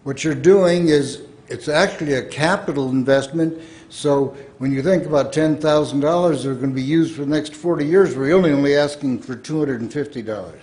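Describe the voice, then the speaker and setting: A man's voice: on the high side, a little fast, few pauses, a little mellow. A man giving an enthusiastic, persuasive public comment to a city council.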